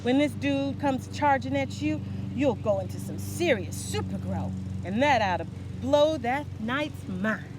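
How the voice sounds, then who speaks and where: A sassy, kind storybook fairy, as a woman reader puts her on. The voice is high and lively, full of hip, soothing slang and pet names. Clear background noise sits behind it. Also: unhurried, hardly any pauses. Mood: confident, hip.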